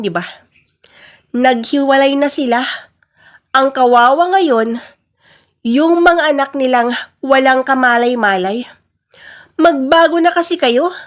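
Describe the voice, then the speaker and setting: Defiant grown daughter played by a woman, high-pitched, indignant and pleading, for family radio drama. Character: defiant adult daughter pleading for her own choices.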